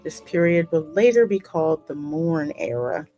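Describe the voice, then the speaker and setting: A woman with a lowish voice narrates a fantasy game story. Her reading is measured and dramatic, with music playing behind her. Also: animated, dark tone, muffled recording. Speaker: gaming host voicing the player character. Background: musical game soundtrack.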